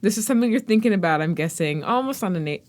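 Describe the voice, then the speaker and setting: A woman's voice: medium pitch, lively intonation, fast, darkish tone. A woman hosting a podcast, interviewing a guest in a conversational style.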